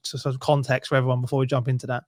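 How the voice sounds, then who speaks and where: A man's voice: mid-range voice, little pitch movement, racing, darkish tone, no echo. A young man hosting a podcast, friendly and casual, with a few fillers, on a studio mic. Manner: friendly, uses fillers and restarts. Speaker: podcast host. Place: room at home. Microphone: studio mic with headphones.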